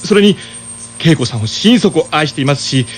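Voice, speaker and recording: An actor playing a cocky, earnest young suitor who is easily rattled, in a comic radio drama. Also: male voice, a little high, lively intonation, normal speed, slight background noise, natural voice. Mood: earnest, boastful. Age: young adult. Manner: boastful, wheedling.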